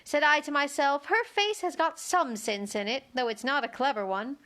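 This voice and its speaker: A woman's voice: sits high, normal speed, bright, forceful tone, voice pushed up. A woman voicing a prim, blunt rose from a children's storybook, with a severe and patronising manner. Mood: condescending.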